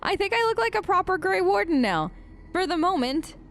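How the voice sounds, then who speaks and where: A woman's voice: very high voice, big pitch swings, a little fast, a bit bright. A young woman streaming a role-play playthrough, chatty and playful, answering the game characters in her own voice. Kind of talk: casual role-play gameplay commentary.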